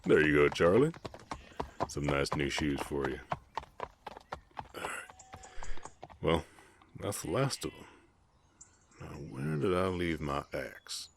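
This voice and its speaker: A man voicing a big, gentle, guarded orc ranch hand with a Southern US accent, speaking politely and haltingly in an intimate Western ASMR roleplay. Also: rumbling low, animated, vocal fry, noisy background, exaggerated voice. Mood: friendly, polite. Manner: halting, polite, soft-hearted drawl with folksy turns.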